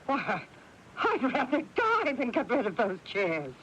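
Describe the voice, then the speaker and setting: A woman's voice: fairly high pitch, wildly swooping pitch, unhurried. An actress playing an imperious, possessive older mother, indignant and emphatic in a radio drama. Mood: agitated, dismissive. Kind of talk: 1980s radio drama acting. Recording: noisy, muffled old radio broadcast.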